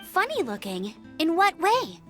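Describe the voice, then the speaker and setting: A high, very lively young female knight scout from a fantasy video game. She is keen and decisive, with brisk, eager lines over clear background music. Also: heavily acted. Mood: curious, questioning.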